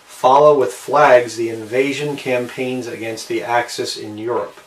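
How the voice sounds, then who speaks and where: A man giving a casual show-and-tell narration, unhurried and halting, often trailing off between short phrases. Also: mid-range voice, fairly even intonation. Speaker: amateur video maker showing a collectible. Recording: roomy, distant mic with faint background noise.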